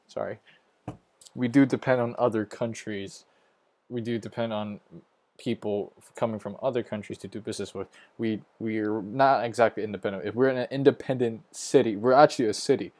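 A man's voice: on the low side, darkish tone, dry recording. A young man from Hong Kong with an American accent, hosting a podcast and ranting casually, hesitant and stumbling, restarting his sentences often. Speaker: podcast host. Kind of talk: casual opinion rant.

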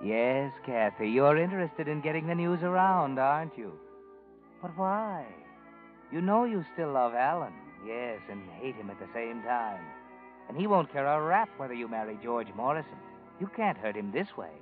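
A man's voice: medium pitch, expressive, moderate pace. Knowing male narrator, tinny old broadcast with music underneath. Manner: knowing, probing. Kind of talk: second-person radio drama narration.

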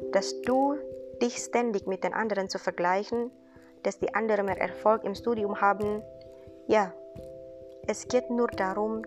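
Earnest young woman with Indonesian accent. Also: mid-range voice. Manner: earnest, encouraging, halting non-native German. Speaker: podcast host, student. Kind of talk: casual monologue podcast. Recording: music bed behind voice.

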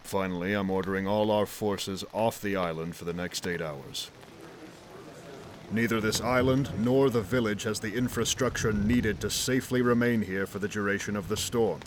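An actor playing a commanding, businesslike admiral in an audio drama, speaking with formal authority over background noise. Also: male voice, on the low side, normal speed, lightly acted. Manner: authoritative, formal, clipped orders.

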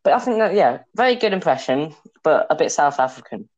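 A man's voice: fairly high pitch, big pitch swings, fairly quick, darkish tone, no echo, dull audio. A young man hosting a casual podcast, teasing his co-host and laughing often. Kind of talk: casual chat.

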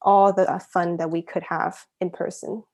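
Chatty young woman with a lowish voice. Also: little pitch movement, moderate pace, darkish tone. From Shanghai, China. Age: young adult. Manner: chatty, giggly, frequent use of "like". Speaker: interview guest, university student. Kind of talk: casual chat, personal anecdotes.

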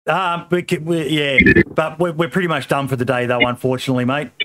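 A middle-aged man hosts a relaxed podcast with a slightly hoarse voice. He talks in an easygoing, rambling way, filling pauses with ums and calling people mate. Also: on the high side. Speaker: podcast host. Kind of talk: casual podcast chat.

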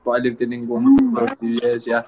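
A man's voice: medium pitch, monotone, a little fast, lo-fi sound, very noisy. Modest young Bulgarian-born man. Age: 20s. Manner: modest, laughing easily. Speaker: guest.

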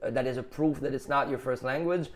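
A man's voice: mid-range voice, brisk. Man with a Quebec French accent. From Quebec, Canada. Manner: reflective, explains at length in long listing runs. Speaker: rapper and musician guest. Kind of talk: interview conversation.

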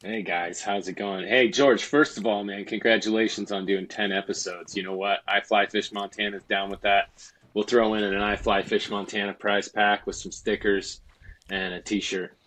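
A man's voice: on the low side, flat delivery, moderate pace, a bit bright, low-quality recording. A forty-year-old man, a podcast guest, talking in an easygoing, friendly way. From western United States. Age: 40s. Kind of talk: casual podcast chat.

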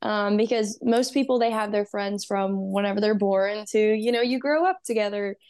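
A woman's voice: mid-range voice, normal speed, a little mellow, smooth. A young woman from Texas, a musician guest talking earnestly and upbeat, with many "um" and "you know". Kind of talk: casual interview.

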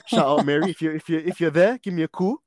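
A man's voice: on the high side, fast, dry recording, studio quality. Upbeat man hosting a podcast. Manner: upbeat, prompting with questions and easy laughs. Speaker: podcast host. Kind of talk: casual podcast interview.

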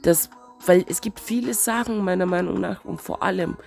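A woman with a cold talks slowly in a casual spiritual vlog, her voice stuffy and wheezing. Her tone sits a little low and turns gravelly and fried, as she searches for words in an unhurried, reflective way. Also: a little mellow, soft background music. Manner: slow, searching, reflective musing. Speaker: vlogger.

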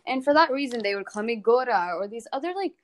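A woman's voice: on the high side, lively intonation, fast talker. A young woman from the United States with an American accent, sharing personal anecdotes informally and explaining as she goes in a group discussion.

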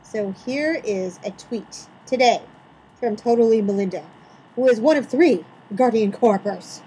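A woman's voice: on the high side, lively intonation, unhurried, dark tone, a little hiss or hum, low-quality recording. A woman co-hosting a podcast, running segments and reading a recap in a mock-dramatic, theatrical way. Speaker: podcast co-host.

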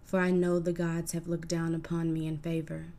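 A woman voicing a proud, defiant cursed gorgon woman in a hushed, breathy recitation. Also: low-pitched, monotone, moderate pace.

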